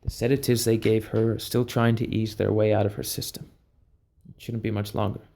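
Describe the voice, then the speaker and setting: A man acting a calm, reassuring leader of a covert team in an amateur audio drama. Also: fairly low pitch, a little fast, vocal fry. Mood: matter-of-fact.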